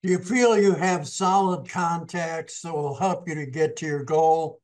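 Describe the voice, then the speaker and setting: A man's voice: fairly high pitch, measured pace, a little mellow. A man hosting a podcast, asking short friendly interview questions. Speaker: podcast host.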